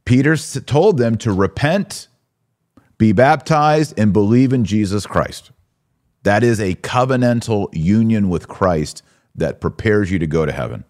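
Deep-voiced, emphatic male podcast preacher. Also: animated, measured pace, darkish tone. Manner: emphatic, exhorting, with rhetorical questions. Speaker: podcast host. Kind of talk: religious discussion, preaching commentary.

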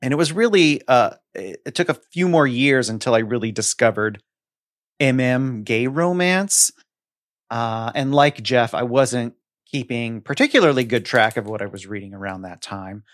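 A middle-aged man reminiscing casually about books in a measured, articulate way, as a podcast co-host. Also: medium pitch, animated, no echo, clean studio sound.

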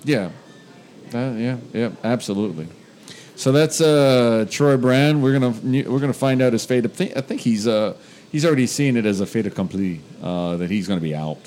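A man's voice: medium pitch, normal speed, darkish tone. A man co-hosting a podcast in casual chat, rambling with many uh's and restarts. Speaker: podcast co-host.

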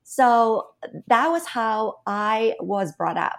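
A woman's voice: a little high, animated, slow. A middle-aged woman from Canada, a guest on a podcast, earnestly telling the story of her career. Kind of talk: podcast interview.

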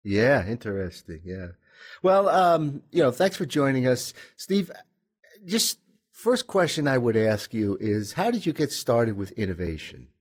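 A man's voice: mid-range voice, big pitch swings, measured pace, slightly rough. A man hosting a podcast, friendly and asking short questions. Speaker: podcast host. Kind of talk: podcast interview.

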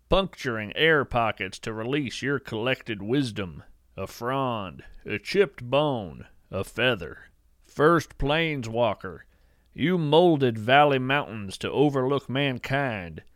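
A man playing a folksy, earnest naturalist who hosts an audio fiction show, narrating in a measured, lyrical way. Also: medium pitch, a bit bright, no room sound, studio quality. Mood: solemn, lyrical. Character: folksy, wonder-struck male naturalist hosting a show about cryptids. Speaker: podcast host.

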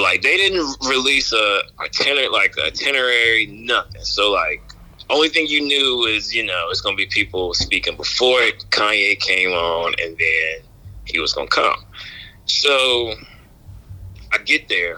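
A man with a lowish but very bright, punchy voice, guesting on a podcast. He tells stories casually, circling back over phrases with slangy, wry humor. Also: poor audio. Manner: repetitive, halting, slangy, wry. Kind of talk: casual anecdotal storytelling.

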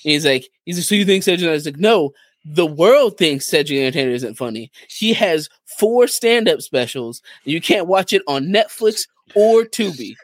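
A man co-hosting a podcast in casual conversation, retelling things people said in an animated way. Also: a little high, moderate pace, no echo. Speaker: podcast co-host.

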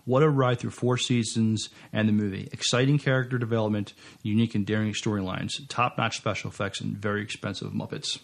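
A man's voice: fairly low pitch, little pitch movement, brisk, gravelly, few pauses. A man co-hosting a podcast, speaking casually and reading out listener messages. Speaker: podcast co-host.

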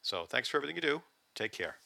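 A man's voice: mid-range voice, sing-song, racing, vocal fry, sharp tone, no echo. A man leaving a casual, friendly recorded message as a fellow podcaster. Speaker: podcaster leaving listener feedback.

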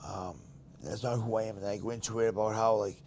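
A man's voice: fairly low pitch, fast, slight background noise. A man with a Boston accent hosting a podcast, talking bluntly and candidly in casual conversation. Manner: blunt, candid, animated storytelling. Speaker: podcast host.

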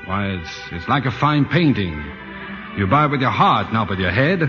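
A man's voice: fairly low pitch, sing-song, moderate pace, music in the background. A man playing a stubborn, dreamy husband with an American accent, earnest and defensive, in 1940s radio acting. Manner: earnest, defensive, hesitant starts. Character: stubborn, dreamy middle-class husband, smitten with his house. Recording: dull lo-fi 1940s radio broadcast.

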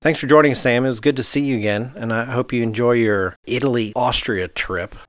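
A man's voice: mid-range voice, fairly quick, dry recording, studio quality. A man hosting an interview podcast in a conversational style. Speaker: podcast host.

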